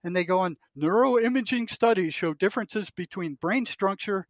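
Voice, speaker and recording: An older man with a noticeably high voice speaks in an opinionated discussion. He is blunt, emphatic and indignant, sometimes swearing mildly. The recording is close and dry. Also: moderate pace, no echo. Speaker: retired psychotherapist, podcast guest. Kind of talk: opinionated discussion, quoting articles aloud.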